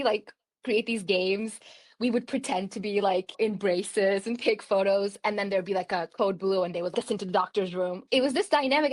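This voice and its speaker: A woman's voice: mid-range voice, darkish tone. A woman from Turkey in her thirties, speaking as a podcast guest. She talks in a loose, chatty way, scattering "like" through her sentences and breaking into easy laughs. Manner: chatty, many "like" fillers, laughs often. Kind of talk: casual conversational storytelling.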